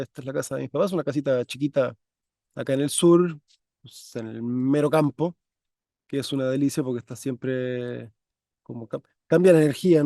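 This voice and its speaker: A man hosts an interview in a relaxed, rambling way. His voice is fairly high for a man but mellow in tone. The sound is dry and close. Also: no room sound. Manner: warm, chatty, many fillers and restarts. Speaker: podcast host. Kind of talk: conversational interview. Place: parents' country house.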